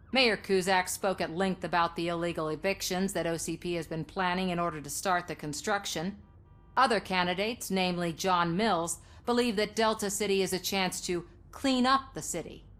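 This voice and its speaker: An actress playing an earnest, assertive television news reporter in a video game, polished and articulate. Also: female voice, fairly low pitch, fairly bright tone, natural voice.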